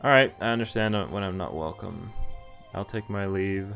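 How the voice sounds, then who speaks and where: Deep-voiced man reading a visual novel aloud. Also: normal speed, vocal fry, a bit bright. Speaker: game streamer. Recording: music behind the voice. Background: game music.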